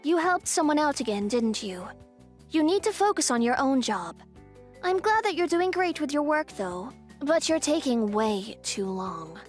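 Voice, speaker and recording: Casting: strict female boss for a manga dub, played by a woman, high voice, brisk and commanding, light music behind. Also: big pitch swings. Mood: stern. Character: tough, feared female office boss, secretly caring. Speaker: voice actress.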